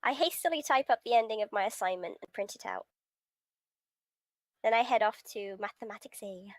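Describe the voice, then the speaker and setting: A woman's voice: medium pitch, expressive, a little fast, no room sound. A woman co-reading on a game stream in a casual, chatty way.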